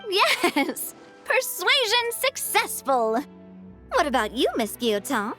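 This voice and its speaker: A woman's voice: high-pitched, huge pitch swings, takes it slowly, bright, forceful tone, soft background music, exaggerated voice. A woman voicing a bubbly, eager young woman in a game who giggles often. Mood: cheerful. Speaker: game character.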